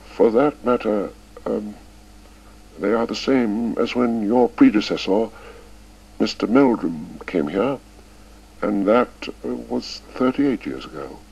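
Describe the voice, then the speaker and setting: A man's voice: lowish voice, vocal fry, darkish tone, breathy edge, voice pushed up, heavily acted. A man voicing an elderly male schoolmaster who stammers and halts, stubborn and fond of the old ways. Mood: proud. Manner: stammering, halting with many uhs. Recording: dull lo-fi recording.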